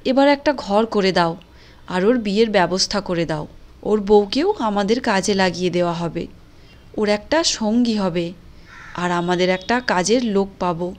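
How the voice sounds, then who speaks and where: Lady voicing a middle-aged village wife, earnest, coaxing, caring, mid pitched, cartoon story. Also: normal speed, close to an everyday voice. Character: caring, persuasive middle-aged village housewife, firm with husband. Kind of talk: Bengali moral-story cartoon dialogue.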